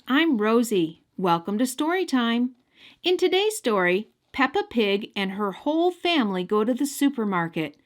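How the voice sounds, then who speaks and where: A woman hosting a children's story time, narrating a scripted picture book in a deliberate, expressive style. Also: mid-range voice. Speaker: storyteller, host.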